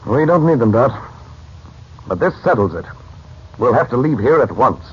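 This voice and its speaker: An actor playing a calm, secretive uncle with mystic powers in a 1940s radio serial, speaking in a measured, guarded, reassuring way. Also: male voice, medium pitch, natural voice. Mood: urgent, commanding. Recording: muffled, noisy 1940s radio recording.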